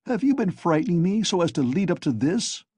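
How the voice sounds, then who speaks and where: A man's voice: high voice, sing-song, a little fast, mellow, husky, dry recording, clean studio sound, voice pushed up, exaggerated voice. A man reading an audiobook, voicing a hasty, embarrassed young male clerk who answers defensively. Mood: flustered.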